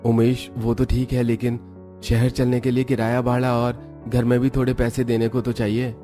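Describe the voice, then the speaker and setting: Man as a practical villager, medium-pitched. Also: completely flat delivery, normal speed, warm tone, soft background music, lightly acted. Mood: sober. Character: village men off to city factory work, friendly, practical.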